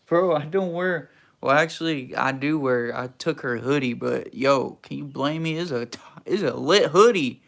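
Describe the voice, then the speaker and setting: A man's voice: a little high, moderate pace, creaky, fairly bright tone. A young man from Texas, hosting a casual livestream and answering chat in a laid-back, slangy, teasing way. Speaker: livestream host reading chat.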